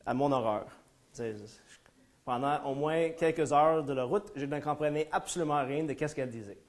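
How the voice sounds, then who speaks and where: A man from Ontario with an English-speaking accent, giving a talk in French to a small room. His voice sits a little high for a man, and he hesitates often, restarting phrases and filling gaps as he works through his points. He mixes in dry jokes at his own expense. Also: normal speed. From Ontario, Canada. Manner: hesitant, many fillers and restarts, self-deprecating humor. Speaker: lecturer. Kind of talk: live conference talk. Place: lecture room before a small audience.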